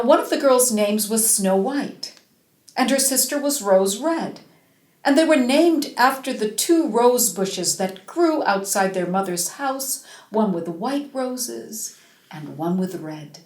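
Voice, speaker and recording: Slow, dramatic woman storyteller. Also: fairly high pitch. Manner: dramatic, drawn-out emphasis, animated. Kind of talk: expressive fairy-tale storytelling for children. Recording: roomy, echoing space.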